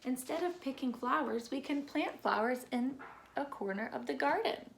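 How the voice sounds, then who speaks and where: A woman reading a children's storybook aloud expressively, with deliberate phrasing and playful emphasis. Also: high-pitched, lively intonation, creaky. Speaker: read-aloud presenter. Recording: echoey room with faint noise.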